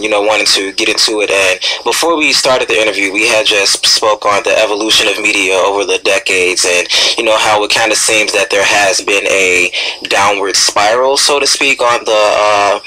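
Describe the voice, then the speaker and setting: A man's voice: fairly low pitch, moderate pace, sharp tone, rough recording. A man hosting a radio interview, asking long, wordy questions in a hesitant conversational way.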